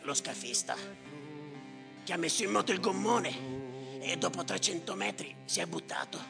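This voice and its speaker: An actor playing a bitter, sardonic storyteller of sea tragedies in a theatrical monologue, declamatory and repetitive, with a gravelly voice. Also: male voice, a little high, big pitch swings, moderate pace, exaggerated voice. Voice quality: gravelly, creaky. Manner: declamatory, repetitive, swinging from muttering to shouting. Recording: reverberant theatre with live music behind. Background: live music.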